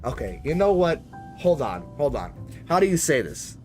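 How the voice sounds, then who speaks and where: A man's voice: fairly high pitch, very animated, normal speed, a little mellow, soft background music. A man chatting casually as he live-streams a flight simulator, in a rambling, slangy, conversational way. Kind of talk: casual live-stream chat while gaming.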